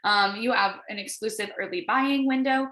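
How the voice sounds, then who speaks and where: A woman with a bright, forward voice gives an informal coaching pitch over a video call. She talks in a chatty, encouraging way and leans on her points. The sound is roomy, with audible echo around her voice. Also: normal speed. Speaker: wellness sales coach presenting to a group.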